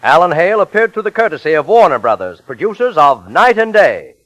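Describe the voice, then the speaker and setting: A man's voice: on the high side, very animated, brisk, a bit bright, no echo, rough recording. A man formally announcing credits on a 1940s radio show. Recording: old 1940s radio with music, off-mic.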